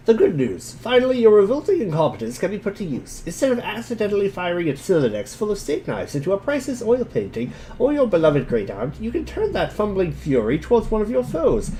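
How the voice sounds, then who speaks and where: A man's voice: high-pitched, mellow, a little hiss or hum, pitched above the performer's own voice. A man voicing a contemptuous, foul-mouthed textbook author who sneers at the reader in exasperation.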